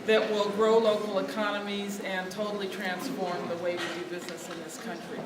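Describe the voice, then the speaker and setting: A woman with a quiet voice giving a speech without a microphone, speaking earnestly to lift her voice over the room. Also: medium pitch, little pitch movement, a little fast, heavy background noise. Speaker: speaker at a lobby day. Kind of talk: outdoor speech without a mic. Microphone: no mic, picked up from a distance. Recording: distant echoey recording with loud ambience.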